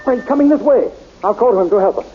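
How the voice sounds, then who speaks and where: Brave young hero, animated pitch, heroic declamation, 1930s radio drama, hissy lo-fi recording. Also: male voice, racing, faint music behind. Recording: crackly dull old radio recording.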